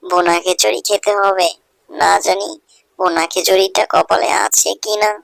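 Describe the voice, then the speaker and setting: A wistful poor village girl for a cartoon story, voiced by a woman. The voice sits on the low side and sounds plaintive yet expressive. The recording is close and dry. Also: moderate pace, vocal fry, no room sound. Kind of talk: Bengali cartoon story dubbing.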